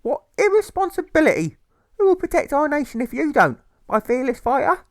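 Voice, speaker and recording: A man's voice: very high voice, very animated, brisk, dry recording, studio quality, pitched above the performer's own voice, exaggerated voice. A man voicing an overwrought, nagging mother, histrionic and repeating phrases in wails, in a storybook reading. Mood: indignant, hysterical. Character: shrill, overwrought, nagging mother, fussing and guilt-tripping. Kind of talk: theatrical rhyming audiobook.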